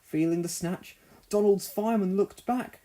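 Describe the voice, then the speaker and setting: A narrator reading a children's read-along story expressively, in deliberate phrases. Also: mid-range voice, mellow.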